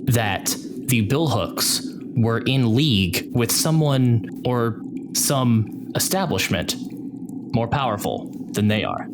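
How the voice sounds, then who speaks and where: A man working as game master, narrating an improvised tabletop game in a measured, deliberate way. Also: medium pitch, sing-song, noisy background. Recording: prominent music bed behind the voice. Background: loud background music.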